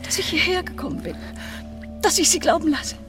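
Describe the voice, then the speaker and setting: An actress playing a torn, guilt-ridden married mother in a German radio play, breathy, halting and formal. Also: extremely high pitch, sing-song, music in the background. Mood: anguished, hushed.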